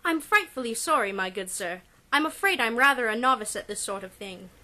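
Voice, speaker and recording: A man's voice: very high voice, expressive, fairly quick, pushed and bright, pitched above the performer's own voice, heavily acted. A man voicing a genteel, nervous young Edwardian lady in a pulp audiobook, polite and over-apologetic. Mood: apologetic, flustered. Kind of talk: pulp adventure audiobook character.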